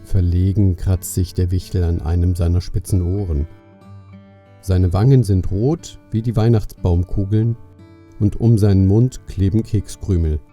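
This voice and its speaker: A man narrating a scripted children's story in a measured way, speaking directly to the young listener. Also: deep voice, steady tone. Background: soft plucked guitar music.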